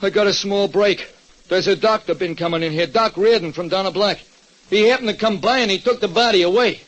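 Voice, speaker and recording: High-pitched New wise-guy diner owner. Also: brisk, a bit bright. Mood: exasperated. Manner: streetwise ungrammatical wise-guy talk. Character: Louie, excitable ex-burglar diner owner, anxious tough guy. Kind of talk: 1940s radio detective drama acting. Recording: muffled vintage radio recording.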